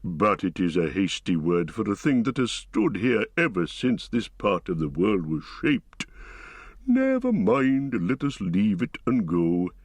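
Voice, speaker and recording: An ancient giant tree-shepherd, as a man reads him aloud in a storybook recording, speaking with great slowness and a lively, rising and falling pitch. He hums and muses between thoughts, never in a hurry, and the close, dry recording keeps every rumble near. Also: no echo. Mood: unhurried. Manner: slow, deliberate, musing with hums. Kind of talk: audiobook character reading.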